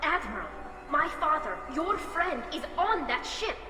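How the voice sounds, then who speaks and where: A woman's voice: high voice, sing-song, a little slow. An actress playing an earnest, respectful young alien woman in a video game, anxious but spirited. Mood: worried, earnest. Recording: echoey hall, faint music behind.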